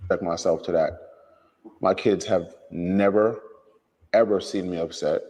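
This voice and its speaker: Man, very low voice, calm and measured, long reverb on the recording. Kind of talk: recorded interview, speaking about his family. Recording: clip with long room reverb.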